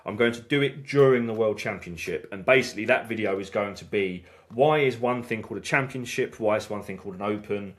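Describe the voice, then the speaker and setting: A man's voice: on the low side, brisk, hardly any pauses, a bit bright. A man hosting a casual snooker podcast chat, stumbling and restarting often as he talks things through. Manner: hesitant, many restarts and fillers. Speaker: snooker channel host.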